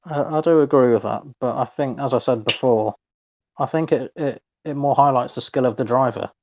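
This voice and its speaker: A man's voice: mid-range voice, fairly quick, noisy background. Hesitant man on a slightly dull recording. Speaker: podcast co-host. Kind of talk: casual sports discussion.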